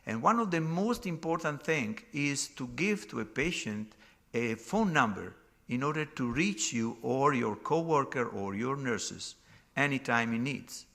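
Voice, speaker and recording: Gravelly Portuguese-accented man answering questions. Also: medium pitch, expressive, a little slow, pushed and bright. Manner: non-native phrasing, restarts, emphatic. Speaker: session chair and speaker. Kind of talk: conference Q&A answer.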